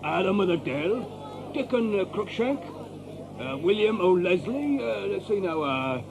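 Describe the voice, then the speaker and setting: High, dithering fussy attendant. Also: male voice, big pitch swings, measured pace, vocal fry, a crowd in the background, exaggerated voice. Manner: hesitant, dithering. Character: fussy, muddling male attendant to a lord. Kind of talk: theatrical audio drama acting.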